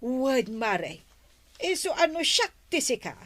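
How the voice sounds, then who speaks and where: A woman's voice: sits high, big pitch swings, unhurried, fairly bright tone, no room sound, heavily acted. A woman playing a chatty, insinuating village gossip in an Ilocano audio drama. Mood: gossipy, sly. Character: gossipy, meddling female village neighbour.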